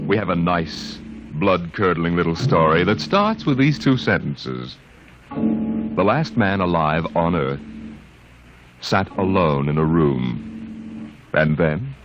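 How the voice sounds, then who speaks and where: A man hosting an old radio show, narrating a teaser with deliberate, teasing suspense. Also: sits low, muffled recording. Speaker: show host. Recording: old radio broadcast with music.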